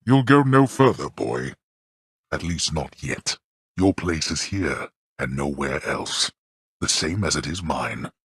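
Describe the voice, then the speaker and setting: An actor voicing a stern, scornful god of the underworld in a video game, with a haughty, formal manner of speech. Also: male voice, extremely low pitch, sing-song, slow pace, a bit bright, slightly rough, no echo, studio quality, full character voice. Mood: stern, commanding. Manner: haughty, formal archaic diction, disdainful. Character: stern, scornful, imperious male god ruling the underworld, an overbearing father. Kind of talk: scripted video game character lines.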